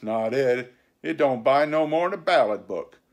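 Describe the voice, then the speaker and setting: A man's voice: a little high, big pitch swings, moderate pace, voice pushed up. Storybook pirate chief, old and crafty, voiced by a man: blustering, scornful, salty rustic speech. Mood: derisive. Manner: scornful, rustic seafaring dialect. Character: wily, blustering old pirate sea cook ringleader.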